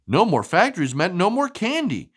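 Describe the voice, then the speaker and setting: A woman reading a storybook aloud to a young child in an expressive, sing-song way. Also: lowish voice, moderate pace, breathy edge. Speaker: storybook reader.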